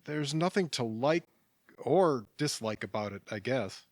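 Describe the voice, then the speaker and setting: A mild, patient businessman played by an actor in an audio drama. He is gentle and a touch hesitant, but his pitch moves in a lively way. The recording is dry and free of room sound. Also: a little slow, no room sound, lightly acted. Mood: matter-of-fact. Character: mild, settled, kindly businessman, a patient listener. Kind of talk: naturalistic audio drama dialogue.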